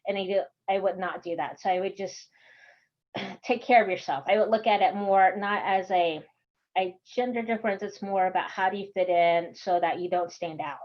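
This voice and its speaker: A woman's voice: medium pitch, fairly even intonation, moderate pace. A woman with a Texan accent leading an online workshop in a chatty, friendly way, laughing often. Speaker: course instructor. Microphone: video call. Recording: dull video call audio.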